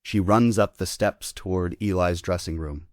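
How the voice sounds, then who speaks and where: A man's voice: low-pitched, moderate pace, no room sound, clean studio sound. A man reading out scripted stage directions as the narrator of a play table read.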